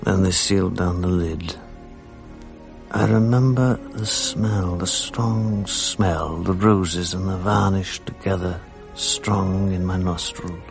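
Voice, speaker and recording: An actor playing a guilt-ridden, self-loathing English husband in a radio drama, educated and apologetic, with a gravelly voice. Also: male voice, low voice, fairly even intonation, takes it slowly, full character voice. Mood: quiet grief. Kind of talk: radio drama acting, dialogue and first-person narration. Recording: 1980s radio drama with faint music.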